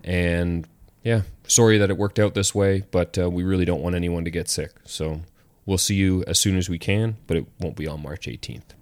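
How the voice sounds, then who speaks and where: A man's voice: deep voice, moderate pace, dry recording. A man hosting a podcast in casual, rambling chat, a little congested. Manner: relaxed, rambling, frequent restarts. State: slightly congested. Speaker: podcast host.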